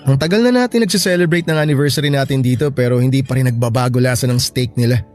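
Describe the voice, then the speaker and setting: A man's voice: medium pitch, fast, a little mellow, close to an everyday voice. A man playing a devoted, sentimental boyfriend in an audio love drama, talking in an affectionate, conversational way. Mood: fond, chatty. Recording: music bed behind the voice.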